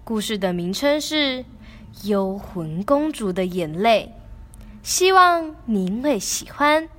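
A woman's voice: medium pitch, big pitch swings, takes it slowly. A teenage girl with a Taiwanese accent presenting a scripted introduction to a student radio drama. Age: teens.

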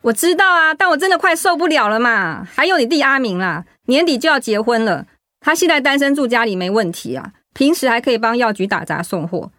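A woman's voice: fairly high pitch, lively intonation, brisk, sharp tone, no room sound, studio quality. A woman acting in a radio drama as a discontented wife, complaining and insistent. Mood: aggrieved complaining. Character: discontented, nagging young wife at end of patience.

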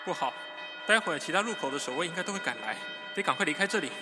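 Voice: mid-range voice, expressive, rapid-fire, over music, lightly acted. An actor playing a level-headed young scout in an audio drama, practical and urgent in manner. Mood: alarmed. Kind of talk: Mandarin audio drama acting.

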